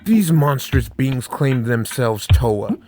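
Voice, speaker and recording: A solemn rebel leader from a cartoon played by a man, indignant and rallying, in a mid-range voice with loud music behind it. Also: medium pitch, very animated, slow pace. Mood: defiant. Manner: earnest, rallying. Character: solemn male village resistance leader, indignant. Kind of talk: amateur fan-dub animation acting.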